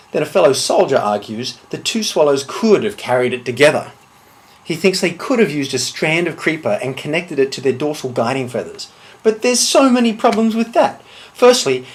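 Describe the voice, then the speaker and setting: Pedantic, baffled medieval soldier, played by a man, higher-pitched, exasperated comic storytelling. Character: baffled, pedantic castle soldier grumbling about coconuts. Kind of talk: comic monologue.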